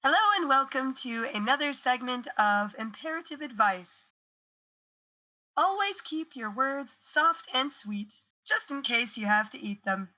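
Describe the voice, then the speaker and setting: A slightly hoarse woman in her late twenties, co-hosting a casual show with cheerful, brief remarks. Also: high-pitched, animated, a little slow, sharp tone, low-quality recording. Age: 20s. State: a little hoarse. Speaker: co-host. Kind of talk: casual chat and short comic segment.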